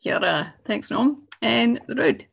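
A woman's voice: fairly high pitch, expressive, slow pace, vocal fry, husky. A woman from New Zealand guiding an educational web conference in a friendly, organised way, opening with a chanted prayer. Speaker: host and field trip guide. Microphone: video call.

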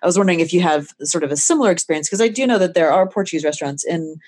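A co-host with a mid-range voice asks loose, rambling questions, stopping and restarting with fillers. The talk is a relaxed podcast interview. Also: mid-range voice, rapid-fire.

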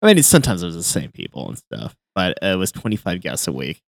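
A man co-hosting a comedy club show in a laid-back way, with quick agreeing interjections. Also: deep voice, sing-song, vocal fry, no room sound, studio quality. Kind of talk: casual co-host chat.

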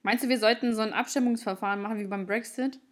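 A woman's voice: a little high, fairly even intonation, quick pace. A young woman from Ukraine, co-hosting a casual chat podcast, teasing and playful in her talk. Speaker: podcast co-host.

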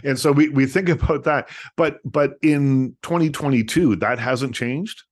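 A man's voice: medium pitch, a little slow. A man with a mid-range voice hosts a radio talk show. He interviews his guest in an easy, conversational way and puts his questions with the odd "uh" along the way. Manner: conversational, asking questions with small fillers.

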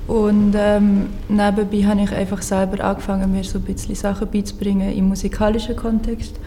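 A woman in her twenties introducing herself on a panel, hesitant and filling pauses with ums. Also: mid-range voice, flat delivery, dark tone, a little hiss or hum. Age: 20s. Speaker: guest, psychology student.

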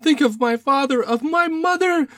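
A woman's voice: medium pitch, huge pitch swings, unhurried, darkish tone. A woman playing a small, timid, guilty man in a Victorian detective drama, agitated and servile in manner. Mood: desperate pleading.

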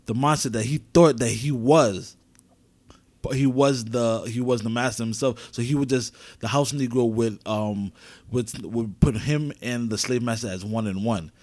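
A man's voice: medium pitch, moderate pace, darkish tone. A man co-hosting a sports podcast, chatting casually with plenty of slang. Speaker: podcast co-host.

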